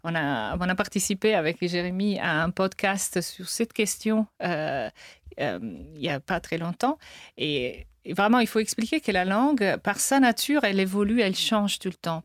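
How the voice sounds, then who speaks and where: A woman's voice: medium pitch, moderate pace, dry recording, clean studio sound. A woman linguist answering interview questions, hesitant, with frequent restarts and light laughs. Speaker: linguist guest.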